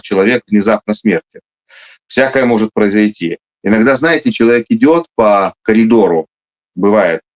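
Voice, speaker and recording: A man with Russian as his first language, giving emphatic conversational commentary as an interview guest, with rhetorical questions and frequent hesitation fillers. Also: lowish voice. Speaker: interview guest, army officer. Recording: online video interview.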